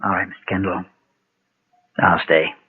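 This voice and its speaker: An actor playing a guilt-ridden former preacher and gunfighter, solemn and halting, in a Golden Age radio western. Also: male voice, low voice, little pitch movement, husky. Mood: resigned. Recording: muffled, thin vintage radio recording.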